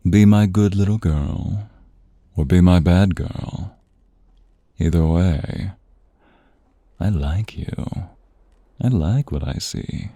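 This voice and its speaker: Man playing a very deep, husky vampire seducer. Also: dark tone, no echo, studio quality, exaggerated voice. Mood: seductive, possessive. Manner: slow teasing murmur, often breathy whispers, sighs. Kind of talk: intimate ASMR romance roleplay.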